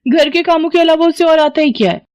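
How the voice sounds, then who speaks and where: A woman's voice: sits high, fast talker, a little mellow, no echo, pitched above the performer's own voice, close to an everyday voice. A woman voicing an exasperated, scolding middle-aged mother. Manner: nagging, exasperated.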